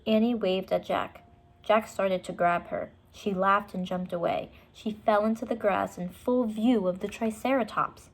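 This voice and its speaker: A woman's voice: mid-range voice, steady tone, a little slow. A woman storyteller narrating a children's book, with short explanatory asides for young listeners.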